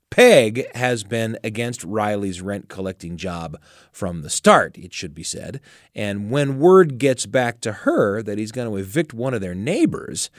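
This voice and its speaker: A man's voice: on the low side, dry recording, studio quality. A man hosting a radio show, narrating and recapping a story from a script with dramatic delivery.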